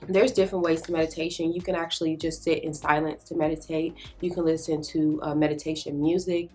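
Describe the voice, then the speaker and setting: Young female vlogger, low register, a little congested, casual rambling advice. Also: little pitch movement, fairly quick, warm tone, soft background music. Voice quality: slightly nasal. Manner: rambling, filler-heavy advice. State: slightly congested from a cold. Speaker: lifestyle YouTuber. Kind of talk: casual talk to camera. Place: bedroom filming setup with ring lights. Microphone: camera in front, framed head and shoulders.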